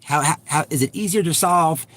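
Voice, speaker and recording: A man hosting an unscripted livestream, talking bluntly and repetitively to his viewers, with halting false starts. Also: on the high side, fairly bright tone. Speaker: livestream host.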